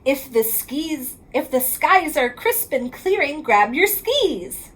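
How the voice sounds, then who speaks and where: A woman with a very high voice reads a children's picture book aloud. She goes slowly and in a sing-song rhythm, stretching the ends of lines, and her pitch moves up and down in a lively way. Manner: sing-song rhyming delivery, drawn-out line endings. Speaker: reading specialist reading aloud to children.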